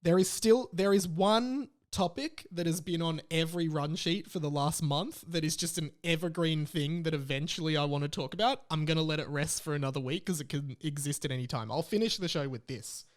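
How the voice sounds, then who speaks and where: A man with an Australian accent hosts a casual comedy podcast. His voice sits a little high, and he talks in a loose, rambling way with a joking manner. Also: a little fast, hardly any pauses. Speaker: podcast host.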